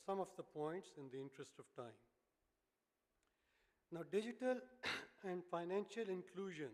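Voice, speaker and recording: Executive keynote: hoarse male speaker, Pakistani English accent, slightly raised pitch, frequent throat-clearing, echoing hall. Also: a little mellow. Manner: clears his throat often, measured phrasing. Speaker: banking executive giving a keynote. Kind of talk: formal conference speech. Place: large hotel conference hall. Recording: reverberant hall.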